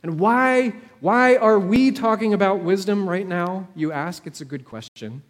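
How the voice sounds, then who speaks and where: A man preaching a storytelling sermon in a measured way, with dramatic pauses and repeated phrases. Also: high-pitched. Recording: long hall reverb.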